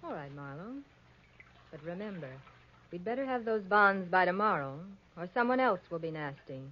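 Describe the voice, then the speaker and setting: An actress playing a strong-willed, alluring heiress in dramatic 1940s radio style. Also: female voice, fairly low pitch, lively intonation, normal speed. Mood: sharp, commanding. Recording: dull old radio recording.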